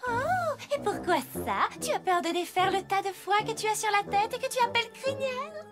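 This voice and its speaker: A very high, swooping voice a haughty cartoon unicorn magician, with loud music behind. She is grandiose and mocking, proclaiming everything like a stage show. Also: animated, normal speed. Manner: pompous, grandiose, speaks of herself in third person. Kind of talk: French cartoon dub.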